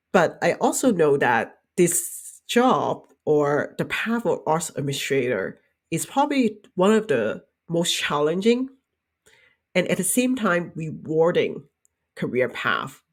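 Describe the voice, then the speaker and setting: A young woman host with a husky, slightly breathy voice. She talks in an earnest, measured way, and her pitch moves a lot as she goes. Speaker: podcast host. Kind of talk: podcast intro and interview.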